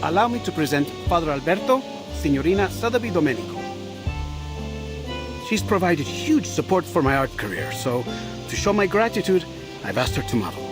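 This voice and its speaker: An actor playing a passionate, eloquent Renaissance painter, charming and persuasive, in a visual novel game. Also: male voice, fairly high pitch, very animated, fairly quick. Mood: gracious. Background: music.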